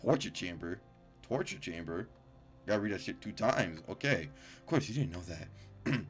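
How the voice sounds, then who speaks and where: Deep-voiced man doing a gaming let's play. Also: animated, moderate pace. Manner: stumbles over read-out words, breaks off into profane, incredulous reactions. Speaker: gaming video host. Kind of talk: casual let's play, reading game text aloud with commentary. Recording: music behind the voice.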